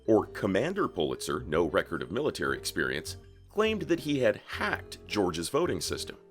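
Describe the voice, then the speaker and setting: A man's voice: mid-range voice, fairly bright tone. A man working as a voice actor, reading a formal statement as scripted narration.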